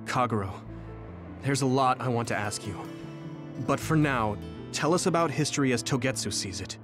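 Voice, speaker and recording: A man's voice: medium pitch, expressive, normal speed, music in the background, close to an everyday voice. A man voicing an earnest, resolute young squad leader in a video game, speaking seriously. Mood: questioning. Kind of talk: video game cutscene voice acting.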